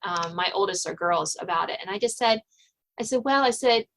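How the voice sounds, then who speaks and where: A woman's voice: mid-range voice, a little fast, fairly bright tone. A middle-aged woman speaking conversationally as the host of an interview podcast.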